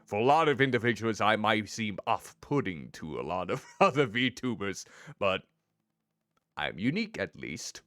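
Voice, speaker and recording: A man streaming a story game as a VTuber, chatting with his viewers in a playful, teasing way with a deep voice. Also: big pitch swings, moderate pace, a bit bright, no echo. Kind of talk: casual gameplay commentary.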